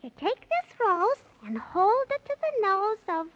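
A woman playing a small, excitable, bossy magical creature who talks in singsong rhyme in a 1930s children's radio serial. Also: measured pace, exaggerated voice. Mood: triumphant. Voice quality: squeaky. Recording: noisy, muffled 1930s radio recording.

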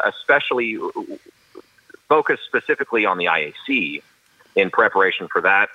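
A man with a carrying baritone voice, a professional auctioneer giving earnest, detailed interview answers with frequent restarts. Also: muffled recording. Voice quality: baritone voice that carries. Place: studio.

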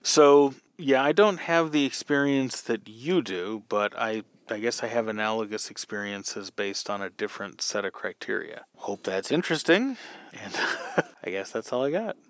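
A man's voice: on the low side, lively intonation, moderate pace, dry recording. A man hosting a podcast, casually explaining his working methods as a novelist in answer to a question. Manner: explanatory, conversational. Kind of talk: casual advice talk answering a listener question.

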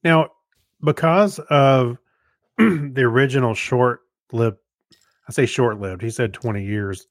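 A man's voice: medium pitch, takes it slowly, no room sound, studio quality. An American man chatting casually as a podcast co-host. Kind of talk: casual chat.

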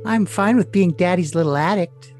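A man's voice: sits high, normal speed, darkish tone, natural voice. A man voicing a lovesick, scheming young woman in a cutesy, coy, overly dramatic comic reading of messages. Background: music.

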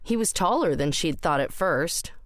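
Low-pitched woman narrating fiction. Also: lively intonation, moderate pace, dry recording, studio quality. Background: music.

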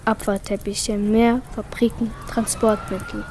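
A woman's voice: mid-range voice, flat delivery, measured pace, background noise. A girl aged ten to twelve reading a scripted poetic text. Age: child, 10 to 12. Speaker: young workshop participant reading own text.

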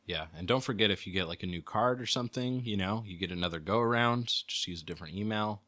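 A man's voice: fairly low pitch, fast talker, bright, forceful tone. A man co-hosting a casual comedy chat, chipping in with dry, wry remarks. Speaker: podcast co-host.

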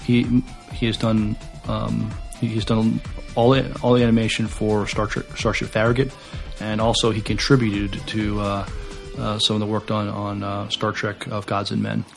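Faint music sits behind a man co-hosting a podcast. His low voice has a gravelly creak, and he talks casually in hesitant, halting phrases. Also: level tone. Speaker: podcast co-host. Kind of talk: casual conversational talk.

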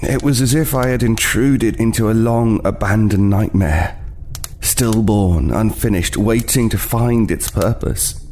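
Man acting haunted, halting homeless man, low voice. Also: darkish tone, a little hiss or hum, close to an everyday voice. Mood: uneasy, ominous. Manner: hesitant, halting, haunted, with repeated words. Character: weary, wary homeless man recounting a frightening night. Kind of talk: dramatic first-person storytelling in an audio play.

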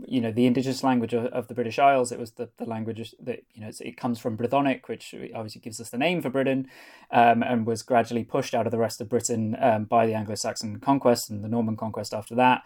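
A man from North Wales explains himself as a guest on an interview show. He talks earnestly at an ordinary pitch. His delivery is loose, full of restarts and filler words. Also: little pitch movement, quick pace, a little mellow. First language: Welsh. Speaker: author and guest. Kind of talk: podcast interview.

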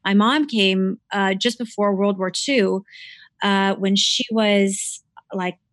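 A woman's voice: mid-range voice, steady tone, slow pace, no room sound. Hesitant American woman, dry close sound. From Cleveland, Ohio, USA. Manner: hesitant, many ums and restarts, laughs easily. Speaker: guest, theological librarian and faculty member. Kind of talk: casual interview conversation.

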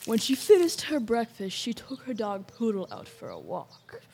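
A woman's voice: on the high side, huge pitch swings, normal speed, gravelly, darkish tone, slight background noise. A female narrator reading a scripted story in an amateur youth radio play.

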